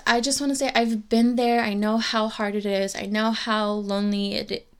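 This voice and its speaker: Smooth-voiced woman podcaster, candid. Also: mid-range voice, level tone, normal speed. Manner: candid, reflective, addresses listeners as girls. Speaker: podcast host. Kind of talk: personal storytelling podcast.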